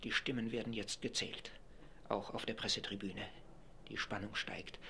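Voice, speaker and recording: A man's voice: on the low side, moderate pace, vocal fry. An actor playing a male radio reporter giving live commentary from a 1947 vote in a radio play. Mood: measured. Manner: steady commentary, swelling to excited announcing. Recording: crowd and noise behind. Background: assembly hall crowd murmur.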